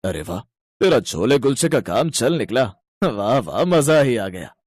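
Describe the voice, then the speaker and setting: A man's voice: medium pitch, very animated, a little slow, darkish tone, no room sound, studio quality. A man voicing a greedy, haughty rich merchant who scolds bluntly in a moral story. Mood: gloating. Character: greedy, bullying male rich merchant boss.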